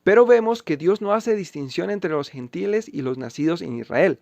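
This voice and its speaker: A man with a somewhat high, gravelly voice delivers scripted commentary on Bible passages. He reads the verses slowly and with emphasis. The sound is dry and close, with no room around it. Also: expressive, darkish tone, no echo. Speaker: channel host refuting a pastor.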